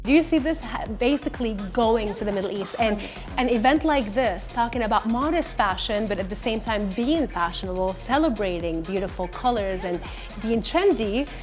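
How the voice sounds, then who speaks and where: A woman's voice: a little high, animated, normal speed, soft background music. A woman hosting an interview, chatty and encouraging with her guests. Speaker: host.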